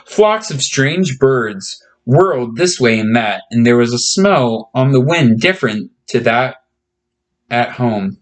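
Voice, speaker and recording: Male read-aloud narrator for a children's fantasy book, mid-pitched, stepping out for brief comments. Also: lively intonation, slow.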